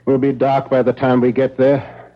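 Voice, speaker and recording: An actor playing a solemn, insistent professor who hunts vampires, speaking huskily with portentous pauses in 1970s radio drama style, on a muffled broadcast. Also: male voice, mid-range voice, completely flat delivery, close to an everyday voice. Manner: deliberate, portentous, emphatic repetitions and dramatic pauses.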